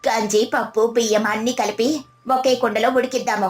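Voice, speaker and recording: Woman playing resourceful village woman, high voice. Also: steady tone, moderate pace, close to an everyday voice. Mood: careful, reassuring. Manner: encouraging, practical, instructive. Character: Rajavva, resourceful brave devout village daughter-in-law cooking in flood. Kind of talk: animated moral story dialogue.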